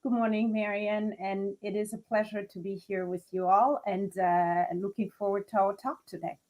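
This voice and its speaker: Woman guest from Senegal, HR executive, calm and structured, middle pitch. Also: poor audio. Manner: measured, structured, counting off points. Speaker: guest, chief people officer. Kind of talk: interview answers.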